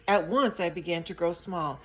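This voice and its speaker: A woman's voice: lowish voice, normal speed, close to an everyday voice. A woman voicing a tiny shrunken creature. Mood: rueful.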